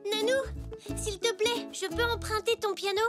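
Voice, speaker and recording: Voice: very high voice, steady tone, moderate pace. A performer voicing an eager, pleading young boy zebra in a children's cartoon, with earnest delivery. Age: child figure. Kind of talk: animated children's series dialogue. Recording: music behind the voice.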